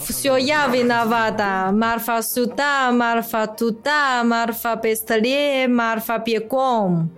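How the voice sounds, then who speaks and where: A woman's voice: fairly high pitch, slow pace, few pauses, a bit bright, faint music behind. A woman playing a put-upon, grumbling servant in a radio play, with an aggrieved, complaining manner. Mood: offended, grumbling. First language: Chinese. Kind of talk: radio play acting of a classic story.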